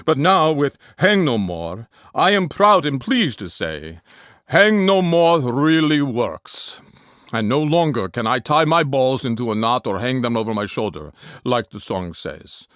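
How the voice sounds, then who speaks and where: A man's voice: mid-range voice, sing-song, a little slow, fairly bright tone, no echo, clean studio sound. A man voicing a solemn, sentimental sufferer from Georgia, the country, giving a testimonial.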